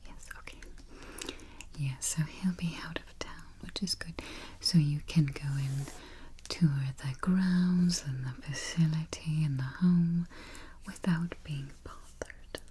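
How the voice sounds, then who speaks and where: A woman whispering softly as a travel agent in an ASMR roleplay, murmuring little mm-hmms between phrases, close to the mic. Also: deep voice, steady tone, slow pace, a little hiss or hum. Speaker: ASMR artist playing a spooky travel agent. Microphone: close sensitive mic. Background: keyboard typing and finger taps.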